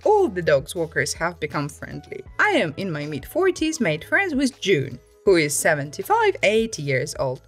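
A woman narrates stories in a scripted storytime style. Her pitch sits in a middle range for a woman but moves up and down in a very lively way. Music plays behind her voice. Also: breathy edge. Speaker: host reading Reddit stories.

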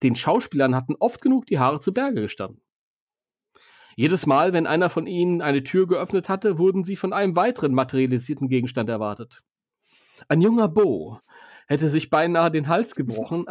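A man's voice: on the high side, expressive, brisk, darkish tone, no echo, clean studio sound. A man with a German accent, co-hosting a podcast, reading a story and bantering with teasing asides. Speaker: podcast co-host reading a film novel aloud.

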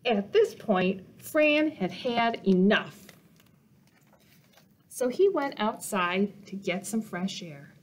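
A woman in her thirties reading a picture book aloud for children as a storytime host, expressive and deliberate. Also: medium pitch. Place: small indoor reading corner.

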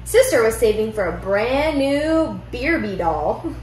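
A young woman reads a children's picture book aloud with expressive storytelling in a living room. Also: a little high, slow pace, slight background noise. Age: young adult. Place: indoor living room at home. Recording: roomy echo, music behind.

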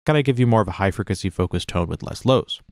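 Low-voiced man giving a tutorial, dry sound. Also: animated, fast, no echo. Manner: informal, explanatory. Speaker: software tutorial instructor. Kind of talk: casual step-by-step tutorial narration.

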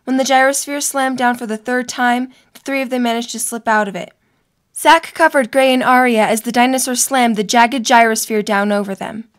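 A woman's voice: a little high, steady tone, normal speed. A woman narrating a scripted story for an audio drama.

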